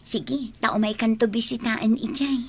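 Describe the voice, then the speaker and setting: Caring Ilocano best friend, highish voice. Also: female voice, fairly even intonation, unhurried, breathy edge, exaggerated voice. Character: caring, dutiful young woman, loyal best friend. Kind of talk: Ilocano radio drama acting.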